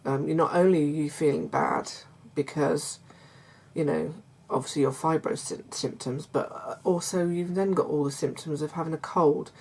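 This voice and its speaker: Croaky middle-aged woman chatting. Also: low voice, steady tone, moderate pace. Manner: hesitant, many fillers and restarts; warm confiding tone toward viewers. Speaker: vlogger. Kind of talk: casual chat to camera.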